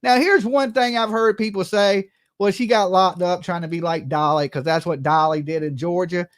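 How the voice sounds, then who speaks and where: Brash, profane livestream host, mid-pitched voice. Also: normal speed. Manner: brash, profane, tags statements with "okay?" and "right?". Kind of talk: casual livestream commentary, gossipy chat.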